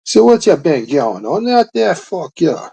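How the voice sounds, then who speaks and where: A man's voice: fairly high pitch, big pitch swings, unhurried, gravelly, mellow, husky. A man, rambling and swearing through a laid-back casual chat.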